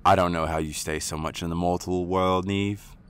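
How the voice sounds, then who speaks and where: A man playing a gruff, imposing otherworldly fairy with a gravelly voice in a radio play. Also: sits low, steady tone, normal speed, slight background noise, natural voice. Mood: dry, grumbling. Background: faint ambience.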